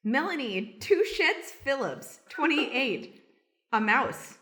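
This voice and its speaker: Bright-voiced woman reading aloud. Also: high-pitched, very animated, takes it slowly. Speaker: improv performer. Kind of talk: reading out a character card.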